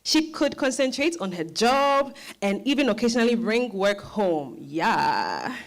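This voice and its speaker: A woman's voice: medium pitch, sing-song, a little slow. A woman narrating a scripted dramatized reading as storyteller.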